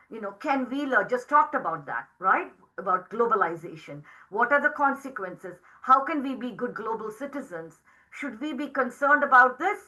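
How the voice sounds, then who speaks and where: Bright-voiced woman lecturing, native Tamil speaker. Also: a little high, poor audio. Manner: frequent tag questions, measured and explanatory. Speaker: professor lecturing to teachers. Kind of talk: academic lecture.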